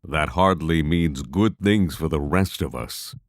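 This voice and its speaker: Male actor as careful young male adventurer, deep voice, measured, serious, fantasy audio drama, dry sound. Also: no echo. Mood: cautious, thoughtful.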